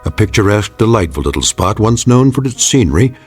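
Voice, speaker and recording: A man playing a grave, wry narrator of eerie tales, measured and ironic, in scripted radio drama style. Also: fairly low pitch, very animated, darkish tone, slightly rough, faint music behind. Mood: wry, portentous. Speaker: narrator host.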